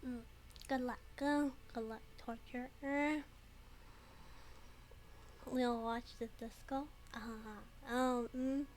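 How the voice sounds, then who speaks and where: A woman's voice: a little high, fairly even intonation, drawn-out words, long pauses, a little hiss or hum, low-quality recording. A woman commenting casually on a video game, giggling often and hesitating a lot. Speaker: game streamer. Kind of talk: casual let's play commentary.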